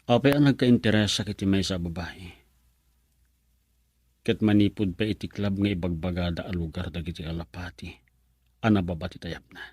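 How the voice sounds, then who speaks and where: Earnest young lover, low and dry, radio drama. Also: male voice, normal speed, long pauses, darkish tone, slightly rough, no room sound. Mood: brooding. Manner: earnest, pleading with his mother. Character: young man chasing a mysterious bar girl. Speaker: actor.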